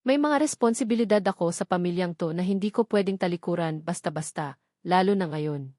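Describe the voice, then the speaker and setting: Babaeng gumaganap na nagsusumamong binatang umiibig. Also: female voice, on the low side, a little fast, dark tone, no echo, studio quality, close to an everyday voice. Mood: frustrated. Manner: earnest, insistent. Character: pleading, frustrated young man in love. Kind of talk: audiobook drama dialogue.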